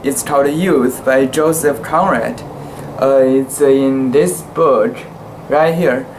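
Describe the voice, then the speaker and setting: Slow, halting amateur story reader. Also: medium pitch, darkish tone, slight background noise, rough recording. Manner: halting, careful word-by-word delivery with stumbles. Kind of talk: dramatic reading of a literary short story.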